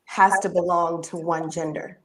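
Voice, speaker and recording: A woman host with a deeper voice, interviewing a guest in a warm, affirming way. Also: little pitch movement, normal speed, slight background noise, poor audio.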